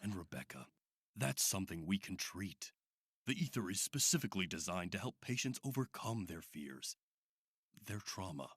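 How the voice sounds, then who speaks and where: A man playing a calm, persuasive therapist in a radio drama, reassuring with a condescending edge. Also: deep voice, moderate pace, husky, no room sound, studio quality, exaggerated voice. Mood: clinical, reassuring. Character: calm, persuasive male therapist at sinister institute. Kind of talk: modern radio drama acting.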